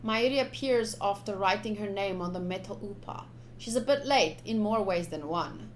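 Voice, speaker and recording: A woman's voice: medium pitch, moderate pace, slight background noise. A young woman streaming a game, informal and chatty, switching between casual commentary and reading aloud.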